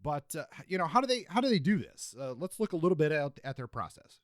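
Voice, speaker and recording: A man's voice: on the high side, big pitch swings, fast, no room sound, clean studio sound. A man co-hosting a podcast, reviewing and discussing books. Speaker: podcast co-host.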